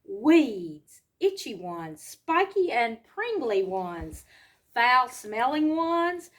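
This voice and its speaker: A woman reads a picture book to young children. Her voice is high and her pace is slow. She breaks from the story to explain things in simple words and put questions to her listeners. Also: sing-song, a bit bright. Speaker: children's educator reading a storybook aloud.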